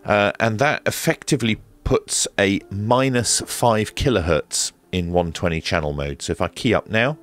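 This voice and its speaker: A man's voice: fairly low pitch, moderate pace, few pauses, faint music behind. A man presenting a casual hands-on radio equipment demonstration, explaining step by step in a methodical, hesitant way.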